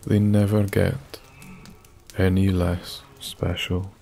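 A Scottish man narrating a bedtime story in a soft-spoken, soothing ASMR style, with long pauses. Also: sits low, flat delivery, creaky, soft background music. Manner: slow, soothing, hushed delivery with long pauses. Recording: faint background noise. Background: soft nature ambience.

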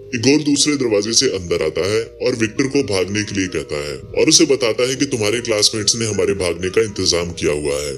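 A man's voice: lowish voice, fairly bright tone. A man giving a scripted narration of a film's story as a recap narrator.

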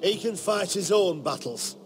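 A man playing a gruff knight in a video game, giving blunt instruction like a combat trainer, with music behind. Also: high voice, animated, a little slow, slight background noise. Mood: scornful, challenging. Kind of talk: dramatic video game voice acting. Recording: game dialogue over music.

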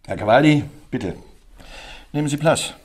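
An actor playing a cautious, diplomatic male ambassador with a husky voice and formal manner in a German radio drama. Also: on the high side, lively intonation, moderate pace. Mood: courteous, guarded. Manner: courteous, formal.

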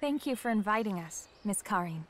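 An actress playing a gentle, gently teasing young wife and mother in a video game cutscene. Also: medium pitch, animated, a little fast, close to an everyday voice. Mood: polite.

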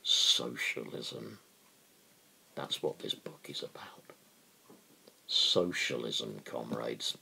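A middle-aged man giving a dramatic reading and impassioned political commentary, emphatic and deliberate, with dramatic pauses. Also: extremely low pitch, creaky, pushed and bright, slightly rough, rough recording. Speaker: amateur reader and political commentator. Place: small living room at home, indoors.

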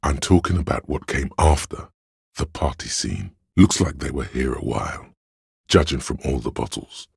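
A man voicing a detective's cool, cerebral inner voice of logic in a video game, measured, condescending and dryly analytical, with a gravelly, husky tone. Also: rumbling low, lively intonation, no echo, clean studio sound, heavily acted. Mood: cool, deductive. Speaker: voice actor. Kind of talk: scripted game narration.

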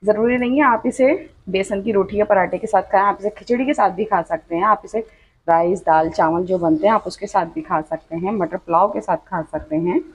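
A woman's voice: mid-range voice, a little fast, slightly rough, low-quality recording. A woman narrating a home cooking tutorial, chatty and instructive, often saying "achha" and "dekhiye". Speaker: cooking channel host. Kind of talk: step-by-step cooking tutorial voice-over. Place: home kitchen.

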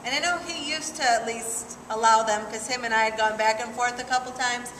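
A woman's voice: a little high, fairly even intonation, brisk, a bit bright, a little hiss or hum. A woman science teacher chatting practically about classroom rules at a meeting. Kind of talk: chiming in at a meeting. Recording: echoey hall.

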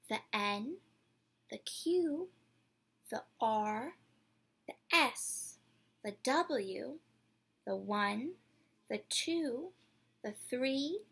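A woman in her twenties doing an expressive, theatrical picture-book read-aloud for children, drawing out words and putting questions to her young listeners. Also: on the high side, vocal fry, husky. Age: 20s. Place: small decorated room indoors.

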